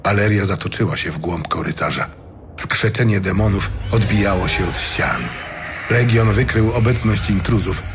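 Low-voiced man narrating over loud music. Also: moderate pace. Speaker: audiobook narrator. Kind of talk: dramatic scripted narration of a fantasy tale. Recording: music behind the voice.

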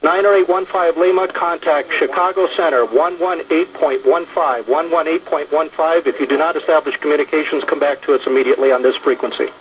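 Higher-voiced male controller on muffled radio. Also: fairly even intonation, a little fast, few pauses. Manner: procedural, directive. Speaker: air traffic flight service controller. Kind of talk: radio instructions to a pilot. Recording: muffled, hissy air traffic radio.

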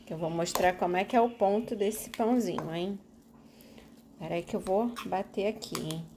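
A woman's voice: mid-range voice, normal speed, noisy background. A mother in her thirties with a Brazilian Portuguese accent, patiently coaching her kids step by step through a recipe in a home kitchen. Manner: patient, coaxing, explains step by step, teasing. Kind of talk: casual family cooking video.

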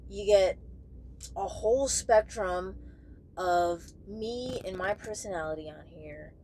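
A young woman talking casually and candidly to camera in a halting way, searching for words as she goes. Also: medium pitch, a little mellow, slight background noise, rough recording. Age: young adult. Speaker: truck driver vlogger. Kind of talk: casual vlog talk to camera. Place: small padded truck sleeper bunk, indoors.

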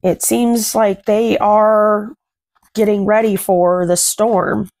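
A woman in her thirties reading a picture book aloud to children, commenting and putting questions to young listeners in simple, deliberate phrases. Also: medium pitch, level tone, darkish tone. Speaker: children's read-aloud host. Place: small furnished room at home.

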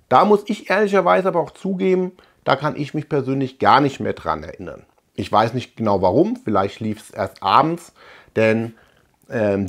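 A man in his forties from Germany, hosting a video in a casual, conversational way with musing asides. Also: mid-range voice. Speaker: host of a retro film and cartoon collecting channel. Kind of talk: casual chat to camera. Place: small room lined with shelves, indoors.

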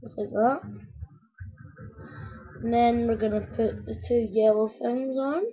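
A woman with a croaky voice, rambling casually to her viewers as she builds a toy step by step. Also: a little high, steady tone, slow pace. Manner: rambling, talks to viewers while working with hands. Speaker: video maker building a toy. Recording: dull lo-fi home recording.

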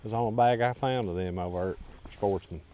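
Casual Southern US male angler, lowish voice, folksy, recorded outdoors on a boat. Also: fairly quick. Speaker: angler vlogging. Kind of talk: casual chat. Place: outdoors on a small boat on a lake. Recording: clear outdoor ambient noise.

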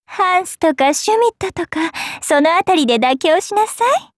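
A woman's voice: very high-pitched, animated, moderate pace, no echo, studio quality. A woman playing a stern, haughty young noblewoman in a game, imperious and scolding. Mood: stern, threatening. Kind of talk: game character acting.

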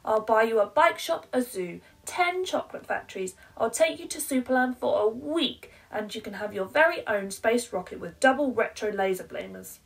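Woman voicing an exasperated, high-pitched pleading mum. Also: expressive, moderate pace, gravelly, few pauses, far from the mic, low-quality recording. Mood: desperate. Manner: breathless piled-up promises, emphatic. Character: exasperated, pleading mum bargaining with her child. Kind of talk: children's picture-book read-aloud.

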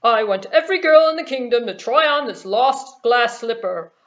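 A woman's voice: a little high, lively intonation, normal speed, fairly bright tone. A woman voicing an earnest, eager young prince in a puppet show, on a dull recording. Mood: declaring.

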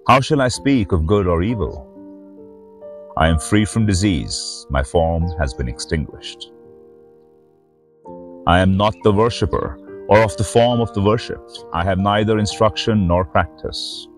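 Deep-voiced man, solemn, over music. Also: expressive, unhurried. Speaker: spiritual teacher and reader, host of the series. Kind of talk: slow devotional reading of sacred verse with short host remarks.

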